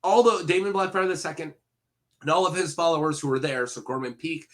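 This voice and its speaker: Rambling man with a bright voice. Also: on the high side, brisk. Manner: rambling, self-correcting, filler-heavy. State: head cold, sniffling. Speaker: video host. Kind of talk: casual solo commentary.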